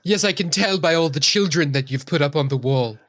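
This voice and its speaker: A woman's voice: low voice, a little fast, no room sound. A woman improvising casually as a player in a tabletop role-playing game, wry and polite.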